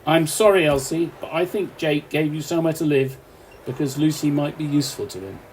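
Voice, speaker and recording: Bloke acting an off-duty cop in a radio play, earnest and authoritative, highish voice, bit of hiss. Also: male voice, fairly quick, a little mellow, natural voice, poor audio. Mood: explanatory. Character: earnest, reasoning off-duty policeman.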